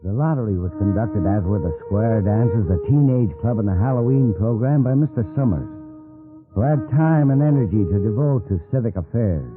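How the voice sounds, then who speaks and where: An actor as the storyteller of a radio drama, narrating a village tale in measured scripted phrases. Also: male voice, on the low side, lightly acted. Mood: measured storytelling. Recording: muffled radio broadcast over music.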